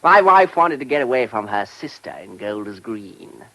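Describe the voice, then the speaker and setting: Brash, animated English property-owning gent. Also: male voice, medium pitch, moderate pace, poor audio. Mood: boastful. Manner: breezy, boastful, chummy upper-middle-class slang. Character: brash, self-satisfied, money-minded country-house owner and company director. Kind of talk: British radio drama acting.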